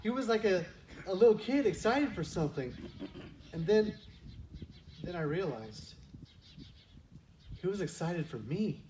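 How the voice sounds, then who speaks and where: A repentant grown son, acted by a man in a church skit, his voice a little high. He tells his story earnestly, with humble yearning and dramatic pauses. He is picked up from a distance in a noisy room. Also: sing-song, darkish tone. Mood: amazed. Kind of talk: reader's theater church skit monologue.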